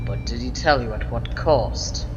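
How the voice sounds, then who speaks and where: A woman's voice: extremely low pitch, measured pace, soft background music. Woman acting an indignant sci-fi heroine, reverberant. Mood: stern, scornful. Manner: accusing, dramatic, emphatic. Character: indignant, righteous female Time Lord hero confronting a villain. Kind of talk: fan audio drama acting. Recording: dull, noisy recording with long reverb.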